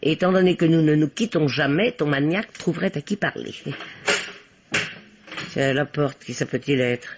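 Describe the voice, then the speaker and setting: A woman playing a bossy, sharp older spinster amateur sleuth in a radio mystery, imperious and precise. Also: sits low, moderate pace, a little hiss or hum. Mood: skeptical, composed. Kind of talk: vintage French radio mystery acting. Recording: dull, lo-fi old radio recording.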